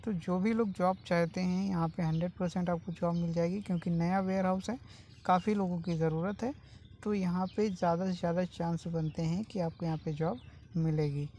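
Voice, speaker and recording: A man hosting a job-vacancy channel, talking viewers through an opening in a steady, explanatory voice-over that keeps addressing them as friends. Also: sits high, moderate pace, slight background noise. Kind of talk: informal informational voice-over. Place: voice-over over a phone screen recording.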